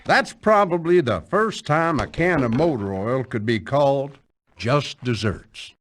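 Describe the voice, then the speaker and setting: Folksy Southern storyteller, very lively pitch. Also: male voice, measured pace. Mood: wry, folksy. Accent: American Southern. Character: folksy, wry country storyteller narrator. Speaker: narrator. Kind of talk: folksy scripted narration.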